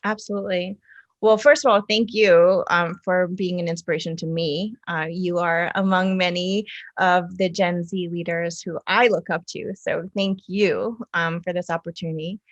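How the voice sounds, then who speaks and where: A woman from the United States answering interview questions conversationally, reflective and halting as she pieces her thoughts together in short phrases. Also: fairly low pitch, fairly even intonation, lo-fi sound. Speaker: interview guest, city councilwoman.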